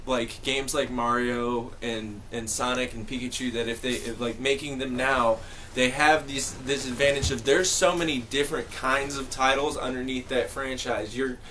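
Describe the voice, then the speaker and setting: A man's voice: medium pitch, steady tone, moderate pace, few pauses, pushed and bright, low-quality recording. Emphatic man chatting over background noise. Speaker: podcast panelist. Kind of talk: casual group discussion.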